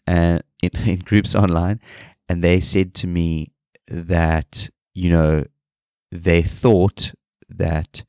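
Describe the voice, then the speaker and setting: A man with a very deep voice, stuffed up and nasal from a cold, talking in a flat, low-energy way. He rambles and restarts his sentences as he hosts his own podcast. The recording is dry and close, with no room sound. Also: no echo. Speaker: podcast host. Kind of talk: solo podcast talk.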